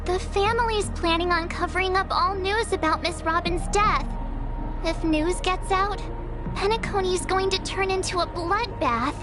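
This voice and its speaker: Very high girlish adventurer. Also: normal speed. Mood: worried, earnest. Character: earnest, girlish young female adventurer. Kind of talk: video game voice acting. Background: music.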